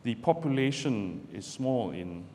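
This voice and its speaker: A man's voice: mid-range voice, lively intonation, warm tone. Man speaking in a reverberant hall. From Malaysia. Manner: measured, deliberate delivery with pauses. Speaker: barrister giving a guest talk. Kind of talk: public speech to students. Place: lecture hall, speaking from a podium. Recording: roomy hall with long reverb, faint background noise.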